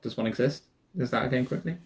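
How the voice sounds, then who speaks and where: A tabletop game player speaking in character in a calm, measured, persuasive way. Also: medium pitch. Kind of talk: in-character roleplay.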